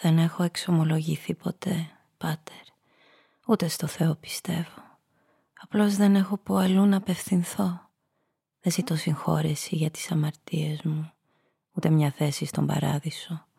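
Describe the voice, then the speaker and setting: An actress playing a cynical, seductive femme fatale, confessing her story in an intimate, breathy way in a noir radio play. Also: sits low, steady tone, dark tone, no room sound, studio quality, exaggerated voice. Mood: bitter, confiding. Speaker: narrator and lead character.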